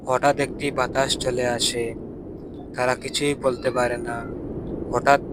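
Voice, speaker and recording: A man's voice: medium pitch, little pitch movement, unhurried, noisy background. A man narrating a scripted cartoon story.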